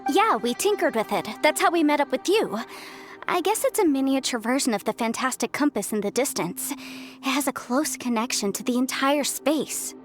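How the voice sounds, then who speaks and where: A woman's voice: high-pitched, lively intonation, normal speed, faint music behind. A woman playing an eager, earnest, inquisitive young woman adventurer in a video game. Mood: curious. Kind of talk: video game character acting.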